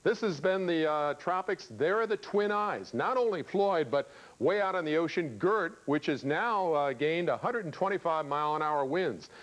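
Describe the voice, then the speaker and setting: A man's voice: a little high, lively intonation, moderate pace. A man with an American accent giving an ad-libbed, conversational TV weather report, explaining satellite maps with emphasis. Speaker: TV weather forecaster.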